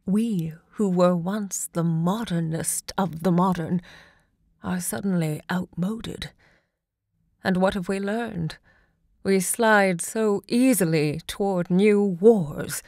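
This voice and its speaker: An actress playing a grand, impassioned woman who hosts a literary salon, looking back in deliberate, theatrical monologue. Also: fairly low pitch, a little mellow, no echo, studio quality. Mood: weary, wistful. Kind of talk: theatrical audio drama monologue.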